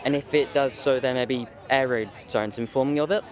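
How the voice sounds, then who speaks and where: A man's voice: medium pitch, steady tone, fairly quick, a faint crowd, close to an everyday voice. A young man playing a wordy, formal military commander being interviewed in a drama. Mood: flustered, official. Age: young adult. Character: measured male military commander, formal and authoritative. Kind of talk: interview acting in youth drama.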